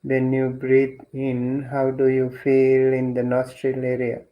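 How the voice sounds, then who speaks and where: A man leading a guided meditation as a teacher, speaking gently and deliberately with long pauses between instructions. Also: mid-range voice, completely flat delivery, lo-fi sound.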